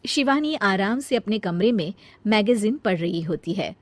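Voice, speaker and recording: A woman's voice: mid-range voice, animated, normal speed, no room sound. A woman narrating a scripted story as its storyteller.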